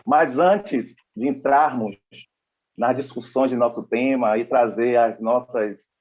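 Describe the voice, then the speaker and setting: A young man from Salvador, giving a formal, measured introduction as panel moderator, with pauses and restarts. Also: mid-range voice, darkish tone, highs cut off. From Salvador, Bahia, Brazil.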